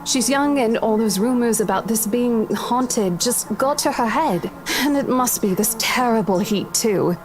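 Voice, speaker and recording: An actress playing an apologetic, professional estate agent in a visual novel, with a placating, businesslike manner. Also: female voice, a little high, a little slow, noisy background, close to an everyday voice.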